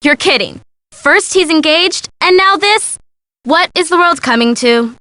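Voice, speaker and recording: A woman playing a bubbly, excitable young female sidekick in scripted video game dialogue. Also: extremely high pitch, a little slow, no room sound, heavily acted. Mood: excited. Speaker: game voice actor.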